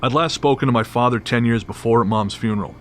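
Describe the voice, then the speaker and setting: A man's voice: mid-range voice, quick pace. A man reading scripted story narration in the first person, with a wry, matter-of-fact manner. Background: clearly audible music.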